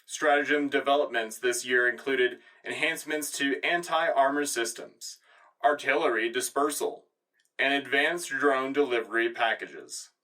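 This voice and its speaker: Guy doing earnest wartime propaganda announcer, formal, bright punchy voice, slightly distant, radio drama. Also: medium pitch, measured pace. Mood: proud, official. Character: earnest, patriotic male propaganda news anchor. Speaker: radio co-host. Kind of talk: scripted in-world news broadcast.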